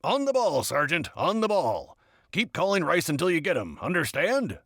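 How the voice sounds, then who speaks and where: A man voicing a gruff, short-tempered military general who barks curt threats in an audiobook story. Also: fairly high pitch, sing-song, moderate pace, fairly bright tone, no echo, studio quality, full character voice. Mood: suspicious, commanding. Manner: barking, curt, threatening, swearing. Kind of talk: scripted audiobook character dialogue.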